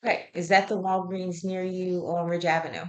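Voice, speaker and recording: A woman with a low-pitched voice conducts a health intake interview. She works through her questions in a conversational, methodical way, acknowledging and checking answers as she goes. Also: level tone, normal speed.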